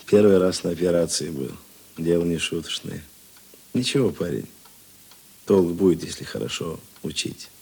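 A seasoned police investigator in a radio play, with a low, husky voice. He talks in a gruff yet talkative way, musing and halting, and his intonation swings freely. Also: animated, a little mellow, full character voice. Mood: gruff, familiar. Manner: musing, halting, conversational asides. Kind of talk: Russian radio drama dialogue.

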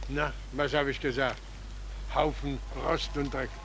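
A man's voice: medium pitch, level tone, measured pace, a bit bright. An actor playing a kindly, concerned policeman in a 1940s German radio play, solicitous and full of exclamations and cautions. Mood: disbelieving. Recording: noisy 1940s radio recording.

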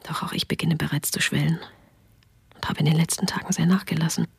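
A worn-out mother of two in a starving city, whispering her diary entries. The low voice is gravelly and raspy, and it sounds close and dry. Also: steady tone, fast, no room sound, heavily acted. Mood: weary whisper. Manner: hushed whispered diary reading. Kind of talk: documentary radio drama reading.

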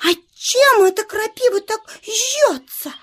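A little hare boy for a children's audio play. The voice is extremely high and childlike, and slow to get its words out. He is naive and whiny, full of drawn-out exclamations and wide-eyed curiosity. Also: animated, fairly bright tone, exaggerated voice. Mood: curious.

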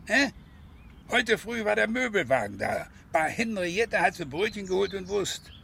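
An actor playing a gruff, lonely old farmer father in a radio drama, needling and blunt. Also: high-pitched, sing-song, unhurried, fairly bright tone, a little hiss or hum, heavily acted. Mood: pointed, gossipy. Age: older. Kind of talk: German radio drama acting.

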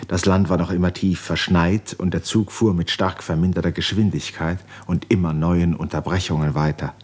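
An actor narrating an epic novel in a 1950s radio drama, grave, measured and solemn. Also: male voice, sits low, flat delivery, a little mellow, slightly rough. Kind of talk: literary narration in a 1950s German radio play.

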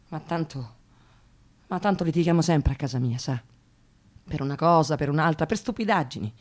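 An actress playing a nervous, tired young unemployed woman in a radio monologue, halting and agitated, repeating her words. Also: sits low. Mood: frayed. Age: young adult. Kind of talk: radio theatre dramatic monologue.

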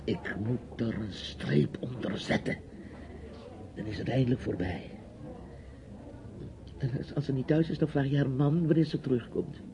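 A man's voice: mid-range voice, normal speed, creaky, long pauses, close to an everyday voice. An actor playing a chatty, nosy, bossy older man in a radio drama, with a theatrical, rambling delivery. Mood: scheming, curious. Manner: theatrical, rambling, commanding, with hesitations. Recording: dull, hissy old radio play recording.

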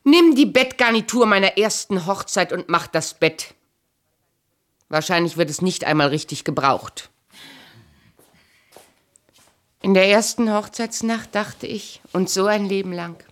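A woman acting a proud, bitter, calculating queen and abandoned wife in a German radio play, speaking in a cool, sharp-tongued, imperious way. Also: medium pitch, lively intonation, normal speed, close to an everyday voice. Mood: cold, bitter.

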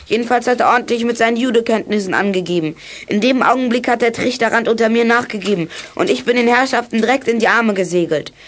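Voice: mid-range voice, quick pace, a bit bright, natural voice. A young performer playing a plucky, boastful boy detective in a German children's radio play from the 1980s.

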